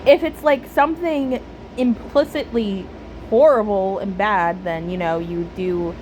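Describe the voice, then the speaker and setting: A woman giving casual, reflective game commentary, thinking aloud haltingly and often repeating words. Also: medium pitch, a little mellow, a little hiss or hum, rough recording. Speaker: game streamer. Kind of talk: casual commentary while playing a story game.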